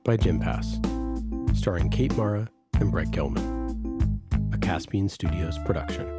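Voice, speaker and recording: A man's voice: sits low, big pitch swings, a little slow, loud music behind the voice. A man announcing podcast sponsor messages and credits in a scripted read.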